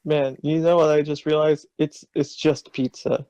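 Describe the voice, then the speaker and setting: A man's voice: on the high side, little pitch movement, moderate pace, warm tone, clean tone, dry recording, dull audio. A man commenting on a badly written story, riffing jokes and laughing often. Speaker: co-reader and commentator. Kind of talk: comedic banter.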